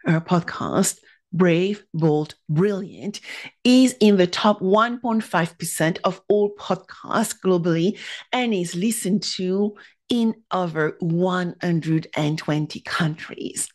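A woman's voice: fairly low pitch, slow, a little mellow. A woman hosting a business podcast, reading a scripted introduction and then interviewing a guest over a video call. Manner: enthusiastic, reads a scripted intro. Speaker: podcast host.

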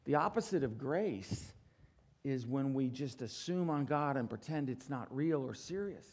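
A middle-aged male pastor preaching a sermon from a church stage. He swings between loud, emphatic declarations and quiet, low asides, and asks the congregation for assent. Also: lively intonation, measured pace.

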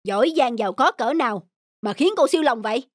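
A woman's voice: on the high side, animated, fast talker, no echo, studio quality. Woman voicing a jealous young husband. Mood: accusing. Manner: suspicious, accusing, then apologetic. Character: male delivery worker, jealous husband. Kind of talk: animated cartoon dubbing. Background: background music.

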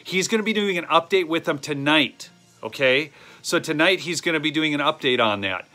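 A man's voice: on the high side, lively intonation, normal speed, bright, forceful tone, soft background music. A man in his thirties with a Minnesotan accent, hosting a casual live stream from a wooden shed, rambling with many ums and addressing viewers directly. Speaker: channel host. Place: small wooden shed or garage, indoors. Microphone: phone camera held close.

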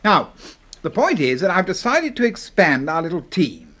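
An actor playing a courteous, middle-aged British retired brigadier in a 1970s radio drama, polite and avuncular. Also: male voice, sits high, very animated, moderate pace, fairly bright tone, exaggerated voice. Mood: genial, brisk. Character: courteous, genial old-school retired brigadier, boss.

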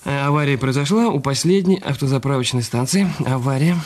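An actor playing a bitter, cornered husband in a radio drama, evasive and grudgingly compliant, with halting delivery. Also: male voice, mid-range voice, slight background noise, lightly acted. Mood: reluctant, dictating. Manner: halting, repeating phrases as he writes.

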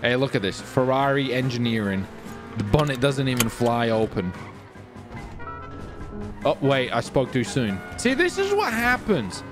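A man giving excitable, exclamatory gaming commentary as the host of a roleplay video. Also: medium pitch, unhurried, long pauses, music in the background. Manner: animated, exclamatory, swearing.

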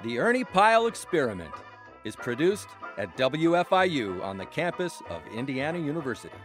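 An American man reads scripted podcast credits. His voice is fairly high and animated, over clear background music. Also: a little fast. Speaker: credits announcer.

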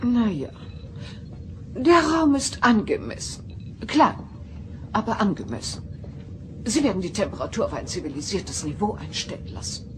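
A woman's voice: mid-range voice, very animated, moderate pace, pauses often, slight background noise, close to an everyday voice. An actress playing a haughty, meddling middle-aged alien mother in a sci-fi audio drama, theatrical and condescending. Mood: imperious.

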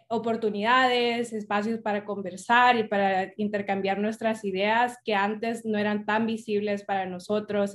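A woman of twenty-two, speaking in a composed, clear way as a webinar panelist on a video call. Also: medium pitch. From El Salvador. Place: indoor office. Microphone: video call with earbuds.

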